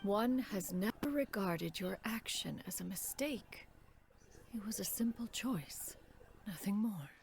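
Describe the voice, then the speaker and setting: An actress playing a dignified immortal crane adeptus and mentor in a video game, speaking in formal, archaic phrases. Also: female voice, medium pitch, animated, unhurried, creaky, a little mellow, slight background noise. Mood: gentle, wise. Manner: formal, archaic, refers to self as "one". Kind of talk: scripted game character dialogue.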